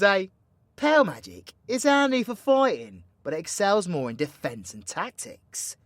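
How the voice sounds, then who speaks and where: A cocky British supervillain, acted by a man in an audio drama, close and dry. His slightly high voice swings widely as he schemes and teases with theatrical, sweary slang. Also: no room sound. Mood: cheeky, instructive. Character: cocky, foul-mouthed male supervillain plotting mayhem, teasingly mentoring ally. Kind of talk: villain roleplay audio drama, intimate monologue to listener.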